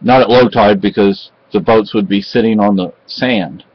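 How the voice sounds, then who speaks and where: Rambling middle-aged man on a dull webcam. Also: lowish voice. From Spain. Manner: rambling, halting, many fillers and restarts. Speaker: vlogger. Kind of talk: casual home vlog chat. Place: cluttered home room with bookshelves. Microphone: webcam at close range.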